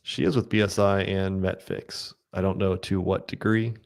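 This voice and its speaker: A man's voice: low voice, fairly even intonation, normal speed, gravelly. A man co-hosting a podcast, plainly recounting articles and reading out comments, with many ums. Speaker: podcast co-host. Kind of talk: casual chat.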